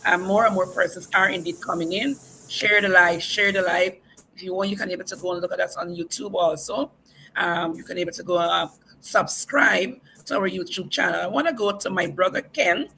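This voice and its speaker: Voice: mid-range voice, steady tone, brisk, gravelly, sharp tone, a little hiss or hum, low-quality recording. The host of a livestream talk show, upbeat, introducing guests and repeatedly asking viewers to share the stream.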